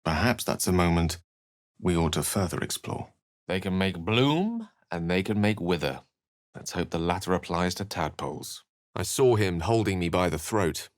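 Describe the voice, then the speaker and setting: A low-pitched, bookish wizard in a fantasy video game. He is wry and courteous, choosing ornate words with theatrical care. His intonation rises and falls widely, and the recording is dry and close. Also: animated, normal speed, no room sound. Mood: wry, musing. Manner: articulate, ornate, dramatic phrasing. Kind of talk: theatrical fantasy game dialogue and storybook-like narration.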